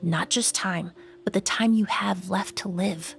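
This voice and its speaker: An actress playing a composed young woman perfumer and investigator, speaking in a calm, measured, thoughtful way in a video game. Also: medium pitch, gravelly. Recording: game audio with faint music. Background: game music.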